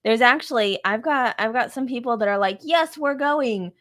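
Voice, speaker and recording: A woman co-hosting a casual cruise podcast, chatty and quick to laugh. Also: fairly high pitch, a bit bright. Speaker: podcast co-host. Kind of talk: casual chat.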